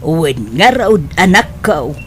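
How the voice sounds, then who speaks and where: A woman playing a caring older mother in an Ilocano family drama, speaking gently in an Ilocano accent. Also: low-pitched, very animated, takes it slowly, over loud music, exaggerated voice. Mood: caring, advising. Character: caring older mother counselling her grown son. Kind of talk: Ilocano radio-style family drama acting.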